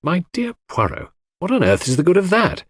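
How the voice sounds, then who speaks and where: Earnest sceptical gentleman, acted by a man, formal, proud, lively intonation, audiobook dialogue, dry sound. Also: high voice, normal speed, husky, dry recording, pitched above the performer's own voice, heavily acted. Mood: cold indignation. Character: earnest male narrator friend of detective, sceptical, proud. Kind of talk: audiobook character acting.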